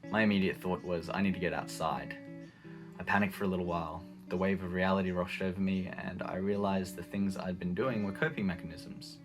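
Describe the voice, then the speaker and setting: A man's voice: sits low, steady tone, a little fast. An Australian man in his late twenties giving a personal, reflective account. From Brisbane, Australia. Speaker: interviewee. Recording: music behind the voice. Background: plucked guitar music.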